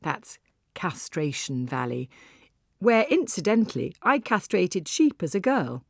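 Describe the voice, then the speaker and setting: A woman's voice: fairly low pitch, sing-song, a little slow, creaky, dry recording, studio quality, exaggerated voice. A woman playing a wry, exuberant Icelandic cafe owner and volcano guide, narrating in the first person with theatrical, confiding asides. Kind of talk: dramatised first-person audiobook monologue.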